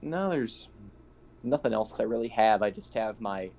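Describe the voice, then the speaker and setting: A man's voice: on the low side, lively intonation, normal speed, vocal fry, darkish tone. A man playing a tabletop role-playing game, amused and laughing often as he describes his character's actions. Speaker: player.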